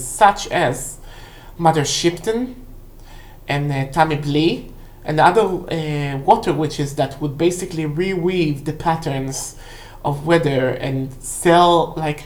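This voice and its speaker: A woman, a native Hebrew speaker, casually rambling through a book review for a vlog in a halting, self-correcting way. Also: low-pitched. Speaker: book haul vlog host. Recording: roomy, echoey indoor sound.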